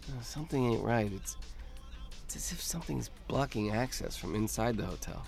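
Creaky-voiced, irritable male hacker. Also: mid-range voice, expressive, normal speed, a little mellow, soft background music. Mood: insistent. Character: irritable male computer hacker, cocky and obsessive. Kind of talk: naturalistic audio drama acting.

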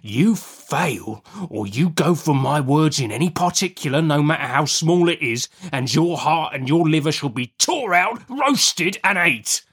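Storybook villain: male narrator as a rough, bullying convict, uneducated dialect, voice often pushed up in fierce threat. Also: fairly high pitch, expressive, unhurried, hardly any pauses, fairly bright tone, voice pushed up, full character voice. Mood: fierce, threatening. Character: menacing, rough convict man threatening a child. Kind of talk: audiobook character acting.

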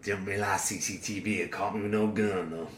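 A man's voice: sits low, steady tone, fairly quick, a little hiss or hum, lightly acted. A man playing a bitter, foul-mouthed convict. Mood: storytelling, cold. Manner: coarse drawling slang, sneering. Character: defiant male convict serving life, bitter and crude. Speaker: prison inmate. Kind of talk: dramatic dialogue acting. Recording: roomy echo.

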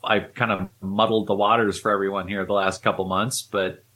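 Chatty man with a lowish voice. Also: moderate pace. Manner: animated, jokey, chatty. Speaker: podcast co-host. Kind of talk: casual chat.

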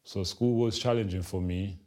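A young man from Congo, telling his life story as an interview guest in a slow, reflective, low-key way with pauses. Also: steady tone, mellow.

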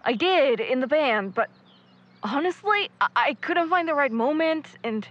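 A woman's voice: sits high, animated, unhurried, muffled recording. A young woman acting a skeptical, guarded character in an audio drama. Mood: awkward. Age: young adult.

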